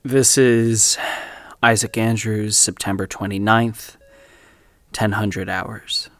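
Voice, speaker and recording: An actor playing a grave, deliberate man recording a public statement, solemn and measured, in a scripted audio drama. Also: fairly low pitch, steady tone, a bit bright, no room sound. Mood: solemn, troubled.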